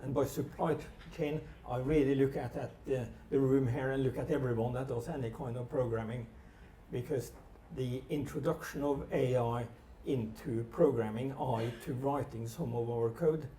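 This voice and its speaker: Mellow Norwegian-accented man on a panel. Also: medium pitch, fairly even intonation, moderate pace. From Norway. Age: middle-aged. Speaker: technology panelist. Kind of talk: informal panel talk. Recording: echoey room, distant mic, background noise.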